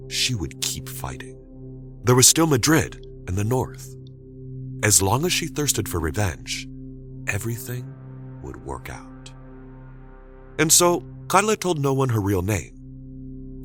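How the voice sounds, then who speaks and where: A man narrating a scripted dramatic story deliberately, with suspenseful pauses. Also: sits low.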